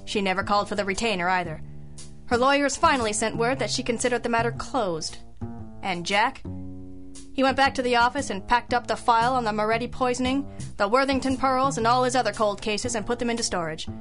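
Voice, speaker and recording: Woman playing a higher-voiced, composed girl detective. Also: brisk, a bit bright, soft background music, close to an everyday voice. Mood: wry narration. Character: composed, sharp adult female detective partner. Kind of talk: old-time radio detective drama acting and narration.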